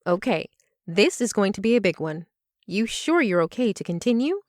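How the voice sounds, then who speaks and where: A woman playing a reassuring yet coolly ruthless lab partner in an audio drama, measured and firm. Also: medium pitch, very animated, dry recording, studio quality, natural voice. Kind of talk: audio fiction character acting.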